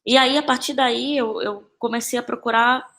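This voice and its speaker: A woman's voice: fairly high pitch, steady tone, normal speed, fairly bright tone. A Brazilian woman answering as a podcast guest in a conversational, informal and reflective way, often seeking agreement with tag questions. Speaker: podcast guest, actress and playwright.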